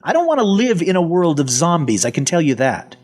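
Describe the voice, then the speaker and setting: Wry, cynical half-zombie survivor played by a man, husky voice, animated swings in pitch, first-person audio drama. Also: a little fast. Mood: defensive, sardonic. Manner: sardonic, colloquial. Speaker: voice actor and narrator. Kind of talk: first-person audio fiction narration and dialogue.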